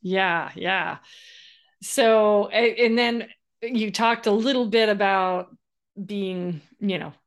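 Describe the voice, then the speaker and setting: A woman's voice: medium pitch, takes it slowly. A woman hosting a business podcast, chatty and giggly, who trips over her words as she asks questions. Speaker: podcast host. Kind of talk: casual interview conversation.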